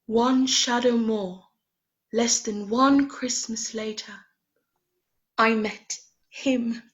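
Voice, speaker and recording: A ghostly guide who becomes a young woman breaking off an engagement, gently reproachful and calm. Her voice sits high and moves slowly. The recording sounds a little dull and lo-fi. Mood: sorrowful. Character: Christmas past spirit who speaks as Belle, young woman, calm and sorrowful. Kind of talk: radio play acting.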